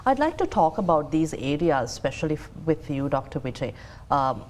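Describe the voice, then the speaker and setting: A woman hosting a talk show, asking measured questions with many fillers. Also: deep voice, sing-song, darkish tone, a little hiss or hum. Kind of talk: interviewing.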